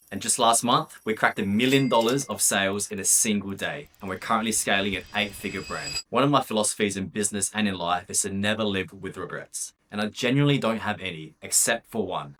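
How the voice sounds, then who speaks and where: A man's voice: on the low side, bright, forceful tone. A young man from Australia narrating his own business vlog in a candid, upbeat, casual way, with the odd swear word. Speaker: vlogger and business owner.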